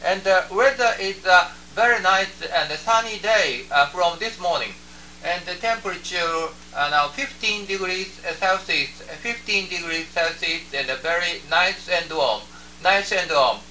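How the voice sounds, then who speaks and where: A man with a high-pitched, very sharp voice and a Japanese accent works a ham radio contact. He speaks haltingly, repeating his phrases. He sounds far from the microphone, with radio noise humming around him. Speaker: amateur radio operator. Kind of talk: ham radio contact chat with phonetic call signs. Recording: noisy shortwave radio setup, distant microphone, hum.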